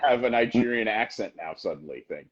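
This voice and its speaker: A man co-hosting a wrestling podcast in casual chat, emphatic and talking in quick bursts. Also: fairly low pitch, lively intonation, highs cut off. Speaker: podcast co-host.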